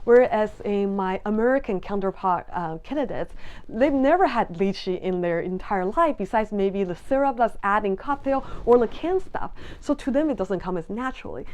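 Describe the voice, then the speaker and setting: A woman's voice: mid-range voice, expressive, moderate pace, a little mellow. Taiwanese-born woman explaining sommelier exams. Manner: friendly, earnest, with frequent ums and restarts. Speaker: sommelier vlogger. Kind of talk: casual explanatory talk to camera.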